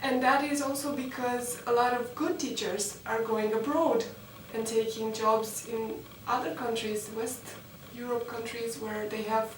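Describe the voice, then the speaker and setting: A young woman from Romania speaks in a fairly high voice, answering interview questions earnestly and stopping often on fillers. She is recorded far from the mic in a roomy space with clear background noise. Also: fairly even intonation, a little slow, hardly any pauses. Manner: earnest, hesitant with many fillers. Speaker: interviewee, master's student.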